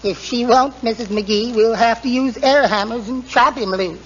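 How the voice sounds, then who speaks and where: High-voiced, stammering, flustered inventor. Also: male voice. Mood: sheepish. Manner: stammering, halting. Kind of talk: 1940s radio comedy acting. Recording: muffled, noisy 1940s radio with audience.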